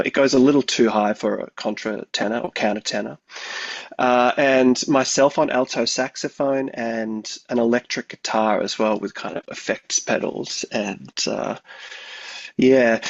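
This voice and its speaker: Australian composer guest, 30s, mid-pitched, hesitant and talkative, dry studio sound. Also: male voice, dry recording, lo-fi sound. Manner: thoughtful, rambling, many fillers. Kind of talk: interview answers.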